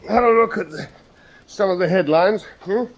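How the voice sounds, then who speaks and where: An actor playing a vain, boastful British naval commander in a radio drama, with a pompous way of talking. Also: male voice, high-pitched, normal speed, dull audio. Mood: self-satisfied. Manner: pompous, self-congratulatory.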